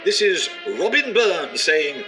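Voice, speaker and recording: A man plays a formal radio announcer with a slightly high voice. He reads a scripted, tongue-in-cheek sign-off over clear background music. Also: very animated, takes it slowly, heavily acted.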